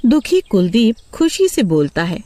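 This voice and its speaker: A woman's voice: fairly high pitch, sing-song, unhurried, warm tone, slight background noise. A woman narrating a scripted horror story for children.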